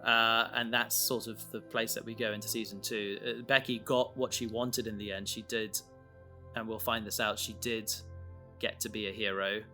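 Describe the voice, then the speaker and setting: A man in a casual podcast discussion, explaining his creative work in a halting way, thinking aloud. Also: fairly low pitch, flat delivery, sharp tone, soft background music. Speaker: audio drama creator.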